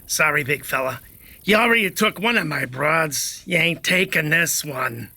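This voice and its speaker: Sharp, sneering gangster villain. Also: male voice, fairly high pitch, lively intonation, normal speed, no echo, heavily acted. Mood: gloating, threatening. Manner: mocking old-time mobster talk. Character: sneering gangster-style villain boss of pig henchmen. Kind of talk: fantasy table read acting.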